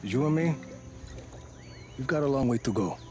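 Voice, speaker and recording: A man acting a gruff, distrustful, battle-weary action hero in a video game, speaking in terse, dry, sardonic lines. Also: mid-range voice, animated, moderate pace, darkish tone, faint music behind, natural voice. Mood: dry banter.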